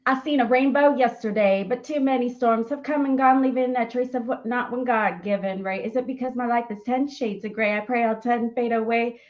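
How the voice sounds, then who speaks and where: A woman reciting a rap in a steady, declaiming rhythm. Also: a little high, brisk, hardly any pauses, lo-fi sound.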